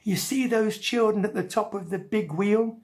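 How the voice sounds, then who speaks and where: A woman's voice: mid-range voice, normal speed, darkish tone, close to an everyday voice. A woman voicing a determined little boy in a children's story, polite, earnest and pleading.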